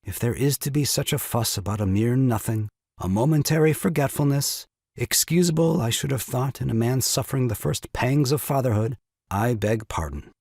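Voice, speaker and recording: A man's voice: medium pitch, moderate pace, vocal fry, darkish tone, no room sound, studio quality. An actor playing a scheming young husband and new father in a farce, sarcastic and mock-tragic. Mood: sulky, sarcastic. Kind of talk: stage farce audio drama acting.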